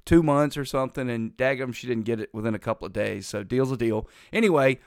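A man's voice: medium pitch, fast, no echo, clean studio sound. A middle-aged man hosting a casual political talk show, rambling in a folksy way while congested from a lingering cold.

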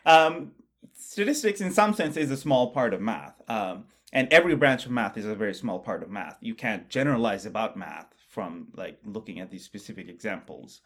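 A man from Bangladesh, co-hosting a casual podcast chat about math in a musing way that often trails off quietly. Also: lowish voice, animated, normal speed, slightly rough. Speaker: podcast co-host, mathematician.

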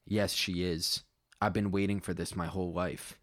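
A man's voice: deep voice, level tone, normal speed, dry recording, studio quality, natural voice. A man voicing an earnest, awestruck male astronaut in a story, with plain-spoken delivery. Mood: awed.

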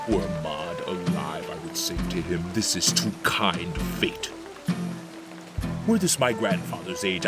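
An actor playing a wrathful, imperious ruler in an audio drama, declaiming in a grandiose, theatrical way. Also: male voice, fairly low pitch. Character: wrathful, imperious male ruler issuing brutal decrees. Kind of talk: scripted audio drama acting.

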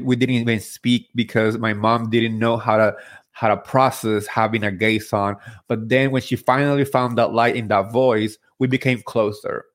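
A man from Spain with a Spanish accent hosting a podcast, talking through his thoughts in an earnest, heartfelt way with many fillers and restarts. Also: on the low side. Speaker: podcast host. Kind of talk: casual solo commentary.

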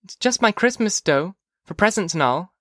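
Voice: medium pitch, lively intonation, moderate pace, no echo, studio quality, voice pushed up, lightly acted. A narrator voicing a young girl, an earnest, blunt and chatty kid sister, in an audiobook. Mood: earnest, hushed pleading. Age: child figure. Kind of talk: audiobook character dialogue.

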